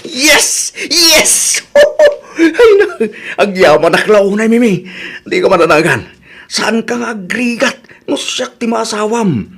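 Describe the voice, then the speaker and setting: A man's voice: sits high, very animated, slow, creaky, a bit bright, full character voice. A man playing a boastful, lying young suitor in an Ilocano radio comedy drama, with an Ilocano accent and an exaggerated, stammering delivery. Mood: elated.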